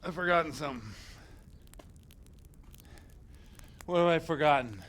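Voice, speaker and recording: An actor playing a hungover, scheming village priest in a video game, groaning and coarse-mouthed in a dramatic way. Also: male voice, fairly high pitch, rapid-fire, fairly bright tone, slight background noise, natural voice. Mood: panicked, suffering. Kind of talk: video game cutscene acting.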